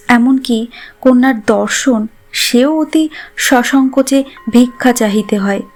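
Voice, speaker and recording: Woman, fairly high voice, scripted literary storytelling, faint music behind. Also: a little mellow. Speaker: narrator.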